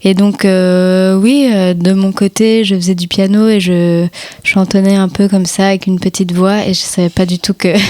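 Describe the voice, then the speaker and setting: A woman's voice: fairly low pitch, darkish tone, no room sound. A young woman singer, half Italian, chatting in a radio interview, hesitant with many fillers. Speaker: singer in a band. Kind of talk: casual interview chat. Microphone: radio studio microphone.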